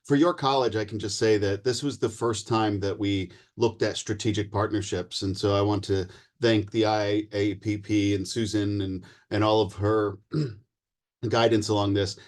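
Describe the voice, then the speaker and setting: A male faculty member speaking casually at an online panel, often clearing his throat. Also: on the low side, steady tone, moderate pace, darkish tone. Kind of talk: virtual panel presentation.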